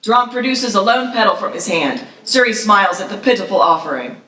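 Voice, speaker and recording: A woman's voice: medium pitch, animated, brisk, sharp tone. A woman reading screenplay action aloud as narrator at a live table read, with a dramatic, vivid delivery. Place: live festival reading in echoey room. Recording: echoey room, distant mic.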